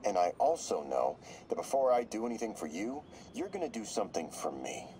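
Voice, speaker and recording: A cool scheming therapist. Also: male voice, fairly low pitch, sing-song, dark tone, a little hiss or hum, poor audio. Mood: clinical. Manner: measured, clinical. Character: calm male therapist, coolly analytical and scheming.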